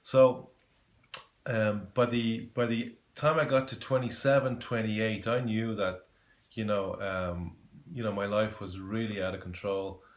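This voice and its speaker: A man in his forties with a Dublin Irish accent speaks in a low, grounded voice. He talks earnestly and haltingly, with frequent fillers and restarts as he shares a personal testimony. The recording carries a noticeable room echo. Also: fairly even intonation. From Ireland. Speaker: testimony giver. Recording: echoey room.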